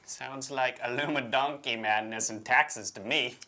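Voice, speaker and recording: A man's voice: mid-range voice, steady tone, measured pace, bright, forceful tone. A man co-hosting with casual commentary and banter, informal and jokey in a slangy way. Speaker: co-host.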